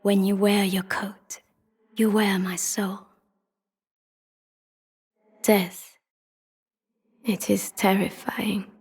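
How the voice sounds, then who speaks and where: A woman voicing an ancient, solemn city spirit in a video game, intimate and prophetic, in a grave breathy whisper. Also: medium pitch, flat delivery, darkish tone, heavily acted. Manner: slow, incantatory, grave. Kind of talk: scripted video game voice acting. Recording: long cavernous reverb.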